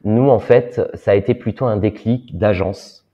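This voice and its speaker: A man in his thirties, a guest telling his story in an interview, pausing often with fillers. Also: fairly low pitch. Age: 30s. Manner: hesitant, many filler pauses. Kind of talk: personal interview talk. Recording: dull lo-fi sound.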